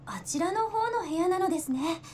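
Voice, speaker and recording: A woman's voice: extremely high pitch, expressive, very fast, darkish tone, a little hiss or hum. A woman playing a courteous, earnest young visitor in a Japanese visual novel, speaking formally, heard as game audio in a livestream. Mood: polite.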